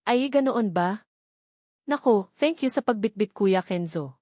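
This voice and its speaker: A sweet, playful younger sister read by a woman chatters affectionately in an audiobook story. The recording is dry and the pitch is mid-range for a woman. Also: lively intonation, measured pace, darkish tone, dry recording. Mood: sweet, eager.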